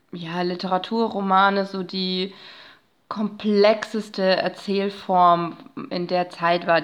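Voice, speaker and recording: A woman in her thirties speaking as an interview guest, explaining her research field conversationally in loose, winding sentences. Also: mid-range voice. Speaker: podcast guest, narratologist and researcher.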